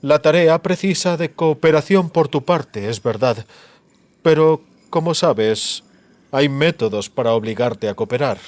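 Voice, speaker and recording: A man voicing a cruel, disfigured sorcerer in a measured, theatrical monologue, coldly gloating and menacing. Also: fairly high pitch, expressive, a little mellow, no echo. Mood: calculating, gloating.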